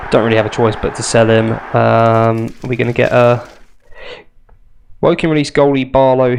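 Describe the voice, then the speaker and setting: A man's voice: mid-range voice, creaky, background noise. A young man with a nasal, congested voice, chatting wryly through a football management game. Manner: chatty, self-mocking, wry asides. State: congested from a cold. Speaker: gaming youtuber. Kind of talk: casual let's play commentary.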